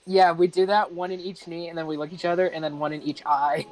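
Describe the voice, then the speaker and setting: A man's voice: fairly high pitch, little pitch movement, fast, crowd noise, low-quality recording. A man chatting casually and agreeably as a player in a tabletop roleplaying game.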